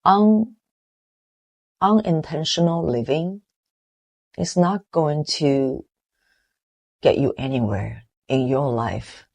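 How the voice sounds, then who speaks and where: A woman in her forties from Taiwan with a Taiwanese accent, giving an earnest, reflective motivational talk with halting restarts. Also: low voice, gravelly, a little mellow, muffled recording. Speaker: motivational vlogger.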